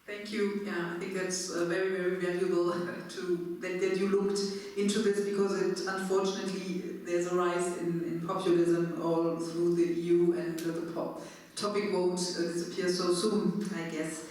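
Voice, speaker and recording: Lady moderating a panel, polite, hesitant, boomy hall, mic far off. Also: level tone, normal speed, a little mellow. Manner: polite, hesitant, thanking and asking. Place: large conference hall.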